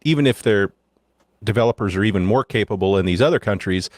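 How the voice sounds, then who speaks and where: Man with lowish, dry-recorded voice. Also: fast, no echo. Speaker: podcast panelist. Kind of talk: conversational tech commentary.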